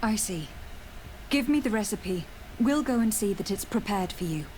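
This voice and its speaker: A woman's voice: medium pitch, expressive, normal speed, a little mellow, a little hiss or hum, lightly acted. Woman acting a poised, insistent noble envoy. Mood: businesslike. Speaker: player-character envoy in a role-playing video game. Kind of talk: video game voice acting.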